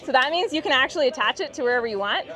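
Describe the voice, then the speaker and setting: A woman's voice: high voice, expressive, very fast, crowd noise. A woman explains a product as a company representative, in an upbeat, informal, explanatory way. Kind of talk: explanatory product demo in an interview. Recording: muffled band-limited audio with music behind. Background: background music.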